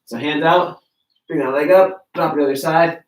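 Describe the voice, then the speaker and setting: A man's voice: on the high side, brisk, roomy sound. A man with a blocked nose talks through stretches in an easy, friendly coaching voice. He sounds distant from the camera mic, and his voice bounces around a bare garage gym. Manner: relaxed, friendly coaching while demonstrating stretches on the floor. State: congested, stuffy nose. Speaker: fitness coach. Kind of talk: live-streamed workout instruction. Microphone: camera mic from across the room.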